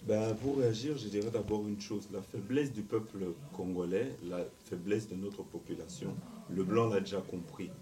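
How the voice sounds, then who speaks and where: A man's voice: lowish voice, fairly even intonation, normal speed, warm tone, distant mic. A man taking part in a political debate, speaking with heated, rising emphasis. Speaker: political candidate. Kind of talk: debate on a web TV show.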